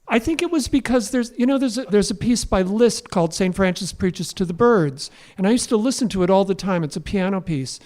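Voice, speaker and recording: A man's voice: high-pitched, animated, brisk, few pauses, darkish tone. A man speaking as an interview guest, casual, hesitant and understated.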